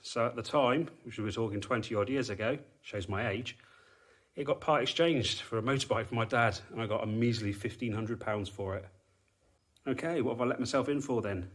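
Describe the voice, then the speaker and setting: A man's voice: medium pitch, a little fast. Man, forties, from England, dry and matter-of-fact, talking over a handheld camera in a garage. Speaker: car restorer, channel host. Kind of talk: walkaround commentary while filming. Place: indoor garage workshop.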